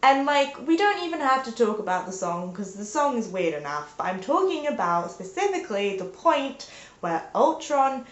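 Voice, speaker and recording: A young woman chats at home in a loose, rambling vlog style full of "like"s and playful bursts. Her voice sits a bit high and sounds congested from a sinus infection. The recording has a noticeable roomy echo. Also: very animated, moderate pace, dull audio. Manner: rambling, peppered with "like", playful exclamations. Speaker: vlogger. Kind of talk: casual chatty video talk. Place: indoors at home.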